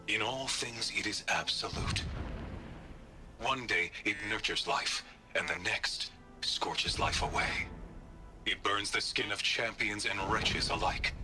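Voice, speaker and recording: Deep-voiced zealous exiled warrior priest. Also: male voice. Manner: solemn, zealous, formal. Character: stern, fanatical male warrior priest in exile. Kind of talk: dramatic scripted monologue from a video game.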